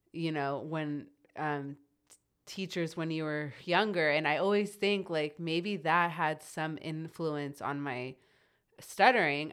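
A woman's voice: low voice, fairly bright tone, clear, resonant tone. A woman who stutters, chatting casually; her first language was Hebrew. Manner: stutters, light and laughing. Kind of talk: casual group chat.

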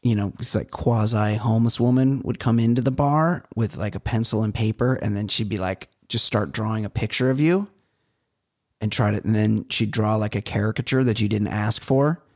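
A man's voice: lowish voice, moderate pace. A man from California co-hosting a comedy podcast, chatting casually and telling rambling stories full of fillers. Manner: rambling, animated storytelling with many fillers. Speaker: podcast co-host. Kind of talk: casual chat.

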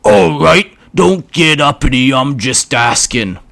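A hulking, short-tempered orc warlord, put on by a man reading a story aloud. He drawls his crude slang slowly and loudly, with a gruff, swaggering bark. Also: on the high side, expressive, voice pushed up, full character voice. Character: huge angry brutish male orc boss.

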